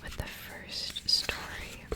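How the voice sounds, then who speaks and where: A woman speaks in a hushed, breathy whisper throughout, in the style of an ASMR host. Her delivery is casual and unhurried in structure, drifting loosely between chat and reading. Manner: casual, rambling.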